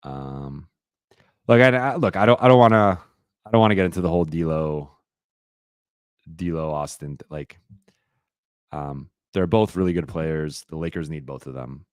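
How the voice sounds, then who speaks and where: A man hosting a live-stream Q&A, talking casually and rambling with lots of fillers and restarts, his voice a little raspy. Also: very deep voice, moderate pace, pauses often, dry recording, clean studio sound. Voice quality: slightly raspy voice.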